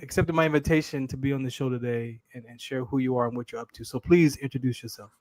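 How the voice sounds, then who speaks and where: A man's voice: medium pitch, steady tone, quick pace, darkish tone, dry recording. A man hosting an interview, speaking earnestly with repeated words and frequent "right?". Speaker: host. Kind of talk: casual interview talk.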